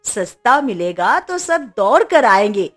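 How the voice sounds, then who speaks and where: A woman playing a sharp-tongued, crafty trader's wife in a cartoon story, scolding and sly. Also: fairly high pitch, sing-song, slow, faint music behind, heavily acted.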